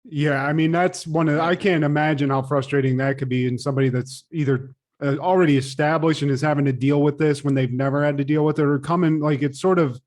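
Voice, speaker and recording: A man's voice: a little high, a little mellow. A man co-hosting a podcast, asking questions in a casual, conversational way. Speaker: podcast co-host.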